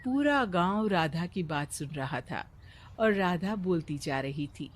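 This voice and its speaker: Calm woman narrating a children's story. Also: fairly low pitch, a little slow.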